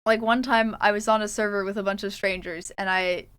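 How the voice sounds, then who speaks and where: A woman's voice: medium pitch, steady tone, a little fast, a bit bright, clean tone. A young adult Canadian woman streaming a game, chatting casually and reading her journal entries aloud. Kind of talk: casual livestream chat while gaming.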